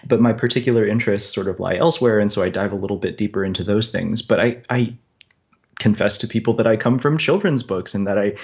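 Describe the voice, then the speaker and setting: A man's voice: lowish voice, fast talker. Chatty, articulate man on muffled audio. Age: adult. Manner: chatty, articulate, explanatory, chuckles often. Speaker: guest cartoonist. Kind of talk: casual, thoughtful interview conversation.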